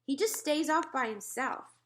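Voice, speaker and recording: A woman voicing excitable, gushing young girls at camp in a children's storybook. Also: sits high, unhurried, pitched above the performer's own voice. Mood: complaining. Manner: pleading, gushing.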